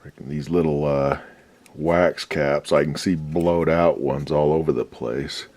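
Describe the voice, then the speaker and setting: A man's voice: rumbling low, fairly even intonation, a little slow, background noise. A man restoring an old radio at his workbench, giving rambling, folksy commentary with a lot of swearing. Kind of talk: casual workshop commentary.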